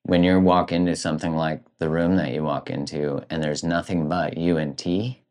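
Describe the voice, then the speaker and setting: A man with an extremely deep voice hosts a podcast conversation. He speaks in a relaxed, thoughtful manner, and he often affirms what his guest says. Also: moderate pace. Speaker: podcast host.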